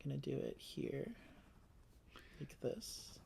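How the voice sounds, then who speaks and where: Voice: slow pace, dark tone, slight background noise. A board game player and co-host chatting casually through a playthrough, thinking aloud while planning moves.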